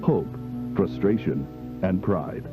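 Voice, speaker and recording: Deep-voiced man narrating a lo-fi infomercial. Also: animated. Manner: formal, measured announcer delivery. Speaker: narrator of a fictional drug advertisement. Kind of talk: scripted infomercial narration for analog horror. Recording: dull lo-fi video playback with music. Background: background music.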